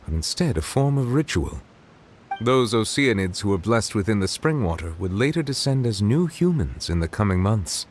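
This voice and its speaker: A man voicing a solemn, dignified dragon who serves as chief judge in a video game, speaking in a formal, measured, grave manner. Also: fairly low pitch, sing-song, background noise. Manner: formal, measured, eloquent, reserved. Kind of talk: video game character dialogue. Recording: game dialogue over background music.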